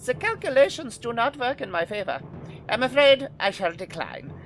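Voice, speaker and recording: A man's voice: very high voice, very animated, normal speed, fairly bright tone, a little hiss or hum, exaggerated voice. A man playing a pompous, aggrieved mad scientist villain in an audio drama, with grandiose theatrical delivery. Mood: gloating.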